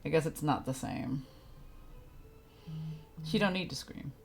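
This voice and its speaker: A woman's voice: low voice, a little slow, gravelly, faint music behind. A woman giving casual, reactive live commentary as she streams a game, talking informally.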